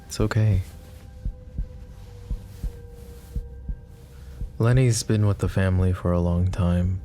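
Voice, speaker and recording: Man acting as a low-voiced, lovestruck mafia boss. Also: fairly even intonation, natural voice. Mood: concerned, soothing. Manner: soft, emotive, halting delivery with stammers. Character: possessive, lovestruck young male mafia boss, tender and anguished. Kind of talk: intimate roleplay ASMR acting.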